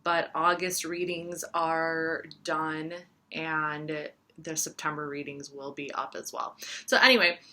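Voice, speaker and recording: A woman in her thirties, raised speaking Serbian, talks casually to camera about astrology. Her voice sits a touch low yet comes across bright and forceful. She rambles, restarts, and fills pauses with "like" and "you know". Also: flat delivery. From United States. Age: 30s. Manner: rambling, filler-heavy, chatty. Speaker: astrologer and tarot reader. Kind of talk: casual talking-to-camera chat.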